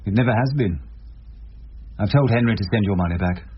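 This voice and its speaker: Guy acting older english lawyer, wry and dogged, lowish voice, dull hissy radio drama recording. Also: natural voice. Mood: accusing, stern. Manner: deliberate, rhetorical, theatrical. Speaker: lead character and narrator.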